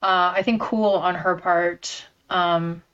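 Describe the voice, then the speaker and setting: A woman's voice: lowish voice, fairly even intonation, takes it slowly, a bit bright, smooth. A woman chef and baking instructor, telling stories as an interview guest in a chatty, enthusiastic way full of filler words. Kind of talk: casual conversational storytelling. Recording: dull lo-fi sound.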